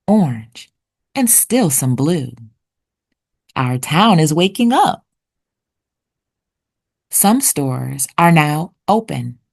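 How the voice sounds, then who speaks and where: A woman reading a children's picture book aloud expressively, with deliberate storytelling pauses. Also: low-pitched, creaky, darkish tone. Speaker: children's read-aloud narrator.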